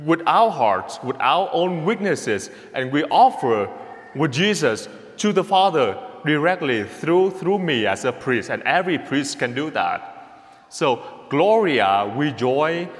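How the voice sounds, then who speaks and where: Vietnamese-accented priest, male, slow homily delivery, mid pitch, long church reverb. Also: sing-song. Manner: slow, repeats words, speaks to the congregation with a gentle "okay?". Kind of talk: sermon.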